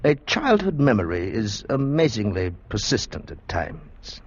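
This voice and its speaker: Vintage muffled radio drama: coolly rational doctor, low, expressive voice. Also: male voice, slightly rough, full character voice. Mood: clinical, explanatory. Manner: measured, explanatory, self-assured. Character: calm, authoritative male psychiatrist, coolly rational.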